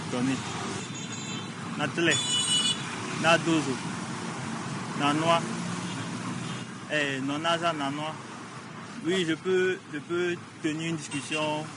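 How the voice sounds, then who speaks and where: A man answering a street interview, speaking in slow, unhurried replies. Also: a little high. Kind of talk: vox pop answer. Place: busy street outdoors. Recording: loud traffic noise outdoors.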